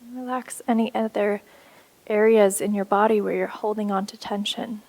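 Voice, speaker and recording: A woman instructor leading a guided meditation in a soothing, unhurried way with pauses. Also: mid-range voice, steady tone, darkish tone.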